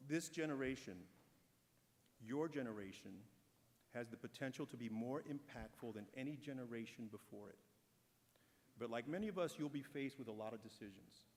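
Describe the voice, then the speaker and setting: A man delivers a measured, encouraging prepared speech at an awards ceremony. His voice sits at an ordinary pitch. A long reverb trails each phrase through the large hall. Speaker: corporate speaker at an awards ceremony.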